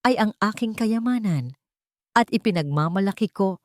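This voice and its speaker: A woman's voice: medium pitch, expressive, moderate pace, darkish tone, dry recording, clean studio sound, pitched above the performer's own voice, close to an everyday voice. A woman voicing a scheming older matriarch in a story, imperious and commanding. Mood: proud.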